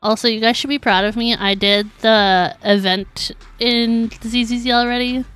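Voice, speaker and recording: A woman's voice: on the high side, steady tone, moderate pace, a bit bright, clean tone, soft background music, low-quality recording. A woman livestreaming a game, talking casually in a chatty, giggly, informal way. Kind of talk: casual live gaming commentary.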